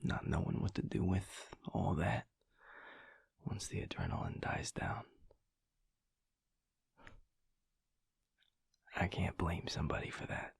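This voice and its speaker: Man playing a husky, whispery, flirty werewolf. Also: wildly swooping pitch, normal speed, no echo, heavily acted. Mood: gentle, understanding. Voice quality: husky, breathy low murmur. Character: flirty, feisty male werewolf, tender and earnest underneath. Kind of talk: intimate romantic audio roleplay.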